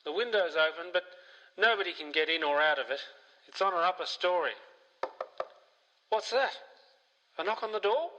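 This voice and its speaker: A man's voice: high voice, expressive, moderate pace, pauses often, bright, forceful tone, muffled recording, a little hiss or hum. A man playing a frightened, wealthy mansion owner in a radio mystery play, speaking in a fearful, dramatic way. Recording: long hall reverb.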